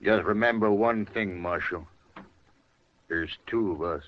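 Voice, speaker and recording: An actor playing a gruff, threatening outlaw in a radio western, speaking tersely. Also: male voice, on the low side, measured pace, natural voice. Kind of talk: 1950s radio western acting. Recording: muffled old-time radio recording.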